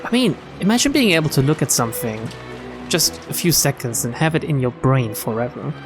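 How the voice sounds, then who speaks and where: Animated man streaming, music behind. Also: mid-range voice, a little fast. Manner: expressive reactions, casual swearing. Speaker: game streamer. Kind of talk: let's-play reading of a visual novel with casual commentary.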